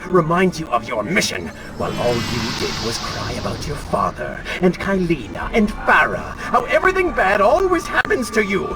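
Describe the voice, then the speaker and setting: An actor playing an arrogant, taunting dark spirit, a prince's wicked other self, with mocking, theatrical delivery in a video game. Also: male voice, high-pitched. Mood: mocking, indignant. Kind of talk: dramatic video game acting. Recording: loud music under the voice.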